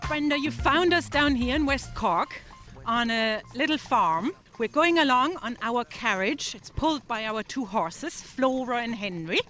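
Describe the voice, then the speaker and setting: A woman's voice: a little high, animated, unhurried, music in the background. A woman from southern Germany, speaking English in a cheerful way while driving a horse carriage for an interview. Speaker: interviewee, farmer. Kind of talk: casual interview chat. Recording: faint outdoor noise, farm animals.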